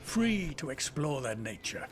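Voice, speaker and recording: An actor playing a wise, open-minded older elf uncle who loves nature, in a video game. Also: male voice, a little high, big pitch swings, a little slow, over music. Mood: wise, teasing.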